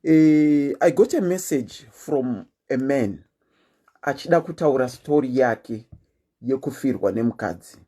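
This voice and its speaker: A woman's voice: rumbling low, a little slow, slightly rough. A woman with a Zimbabwean accent, hosting her show in a chatty, casual monologue, telling listeners her news with lots of "eh" fillers. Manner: animated, chatty storytelling with frequent "eh" fillers. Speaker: host.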